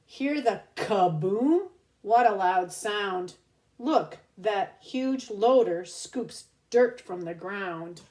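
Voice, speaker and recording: A woman teacher reading a children's picture book aloud in an expressive, child-directed manner, pausing to explain things. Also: mid-range voice.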